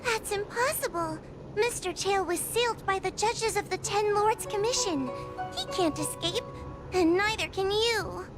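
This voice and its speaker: A woman's voice: extremely high pitch, measured pace, over music. A woman voicing a tiny, timid and earnest fox girl in a video game, with a childlike, plaintive way of talking. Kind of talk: video game character acting.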